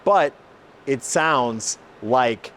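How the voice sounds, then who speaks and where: A man's voice: medium pitch, expressive, drawn-out words, very noisy. A man hosting an automotive video, presenting and explaining in an emphatic, enthusiastic way. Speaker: host, exhaust shop builder. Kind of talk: presenting car exhaust comparison, part scripted, part explanatory.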